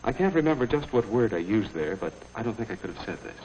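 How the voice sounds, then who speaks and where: Faintly noisy old film track, American male boss, low voice, calm, formal, deliberate. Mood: restrained, businesslike. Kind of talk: 1950s training film acting.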